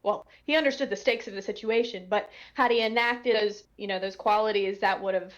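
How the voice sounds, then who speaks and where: A woman's voice: mid-range voice, fairly quick. Hesitant woman, slightly dull lo-fi audio. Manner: hesitant, tentative, trailing off into asides. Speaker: audio drama cast or crew member giving commentary. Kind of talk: casual panel commentary.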